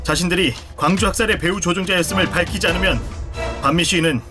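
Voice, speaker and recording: Male actor as a defiant young student activist in a radio drama; high register, plain steady delivery, music bed. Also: quick pace, lightly acted. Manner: plain declarative speech. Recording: music behind.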